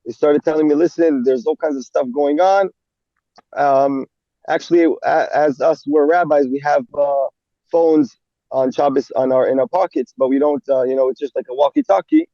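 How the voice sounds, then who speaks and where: Brief: young man, gravelly, halting with fillers, dull dry recording. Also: fairly high pitch, no echo. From Israel. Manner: halting, many fillers and restarts. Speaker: army rabbi. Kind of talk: interview guest.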